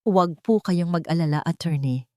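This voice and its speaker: A woman's voice: fairly low pitch, normal speed, warm tone, dry recording, clean studio sound, natural voice. Woman acting cool, defiant wronged bride. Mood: resolute. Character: calm, determined young wronged bride taking revenge.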